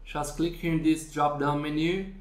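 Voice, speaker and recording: A man presenting a screen-recorded software tutorial, explaining step by step with frequent fillers. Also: fairly high pitch, little pitch movement, normal speed. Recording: echoey room.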